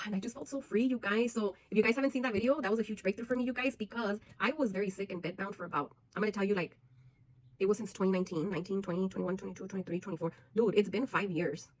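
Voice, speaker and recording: A woman's voice: mid-range voice, racing, a little mellow, no room sound, rough recording. A woman, talking frankly and bluntly to her viewers in a personal vlog, swearing freely. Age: 40s. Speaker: vlogger.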